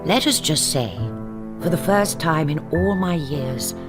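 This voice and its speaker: An actress narrating as a reflective spinster woman, measured and wry. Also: low voice, animated. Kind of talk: scripted narration. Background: music.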